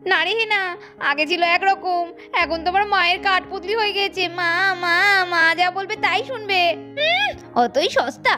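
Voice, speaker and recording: A woman narrating a doll cartoon and voicing the young women in it, brisk and expressive. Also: very high-pitched, faint music behind. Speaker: narrator and voice of many dolls.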